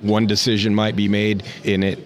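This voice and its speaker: A man's voice: fairly low pitch, completely flat delivery, normal speed. A man being interviewed on a podcast, talking in a rambling, thoughtful way with frequent restarts. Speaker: interview guest, rancher. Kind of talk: casual interview.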